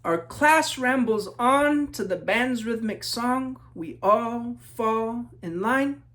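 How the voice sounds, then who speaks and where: Slow-paced man reading a children's story. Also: extremely high pitch, pushed and bright. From New Mexico, United States. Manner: measured, rhythmic reading with a repeated refrain. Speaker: children's author reading own book. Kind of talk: read-aloud storytelling with questions to young listeners.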